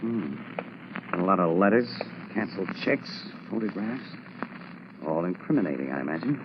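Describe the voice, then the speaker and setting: An American actor playing an easygoing, quick-witted crime photographer in a 1940s radio drama, with a casual, wry, colloquial manner. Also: male voice, low voice, lively intonation, background noise. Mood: brisk, wry. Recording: muffled vintage 1940s radio broadcast, music.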